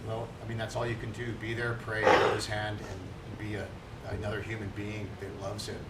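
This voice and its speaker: A man who works as a therapist moderating a post-screening panel, speaking in an encouraging way with halting restarts. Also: lowish voice, completely flat delivery, distant mic. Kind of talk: panel discussion. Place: large room at a film screening. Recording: echoey hall from off-mic with noise.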